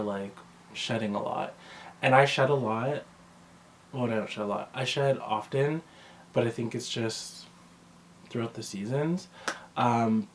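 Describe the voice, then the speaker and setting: A man's voice: medium pitch, a little hiss or hum. A man talking casually in a vlog, rambling and conversational as he often interrupts himself. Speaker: vlogger. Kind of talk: casual chat to camera about personal routine.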